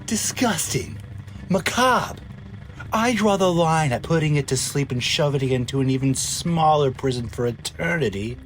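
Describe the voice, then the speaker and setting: A man playing a haughty, callous, excitable scientist in a radio drama, speaking in a pompous, theatrical and disdainful way. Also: on the high side, normal speed, a little hiss or hum, natural voice. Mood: scornful, argumentative.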